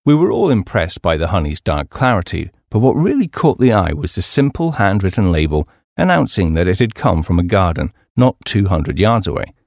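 A man with an English accent reads a humorous memoir as a scripted audiobook, in a measured, expressive storytelling manner. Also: low voice, creaky, no echo, clean studio sound. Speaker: audiobook narrator.

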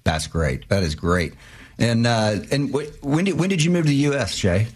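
A man's voice: medium pitch, animated, moderate pace. A man hosting a radio interview with enthusiasm, often repeating himself and restarting sentences. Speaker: radio show host.

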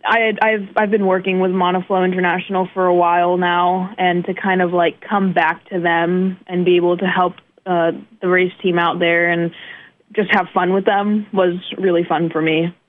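A young woman from the northeastern United States, a guest racing driver answering in casual interview talk, relaxed and modest with many fillers. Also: lowish voice, normal speed. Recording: tinny phone-like line.

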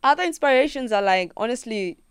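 A woman's voice: fairly high pitch, brisk, smooth, no room sound, studio quality. A young Kenyan woman, a singer-songwriter guest, chatting casually in an interview and acting out her own thoughts as she tells stories. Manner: animated storyteller who acts out her own thoughts in quotes.

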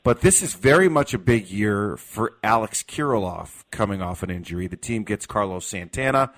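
A man's voice: lowish voice, animated, moderate pace, fairly bright tone. An American man hosting live sports radio, interviewing conversationally with an announcer's phrasing. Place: radio studio.

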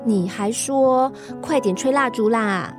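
A woman's voice: fairly high pitch, unhurried, music in the background, lightly acted. A woman playing a caring, cheeky young granddaughter in a radio drama, with a coaxing, pleading manner. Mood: playful, coaxing.

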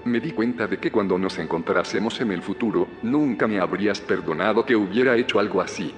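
An actor with a Spanish accent from Spain playing a calm, persuasive middle-aged founder in an audio drama. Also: male voice, lowish voice, brisk, lightly acted. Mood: measured, persuasive. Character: calm, persuasive male founder of a secretive community. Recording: long reverb with music underneath.

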